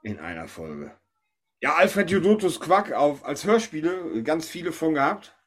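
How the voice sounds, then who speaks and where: A man's voice: a little high, big pitch swings, unhurried, fairly bright tone. A man hosting a podcast, chatting casually and informally about pop culture with his co-hosts. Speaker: podcast host.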